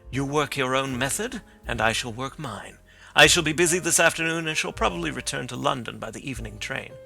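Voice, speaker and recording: Confident detective, read by a man, gravelly edge. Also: brisk, sharp tone, soft background music. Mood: assured. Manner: crisp, assured statements, sometimes low murmurs to himself. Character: sharp, confident male detective, terse and assured. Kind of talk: audiobook reading.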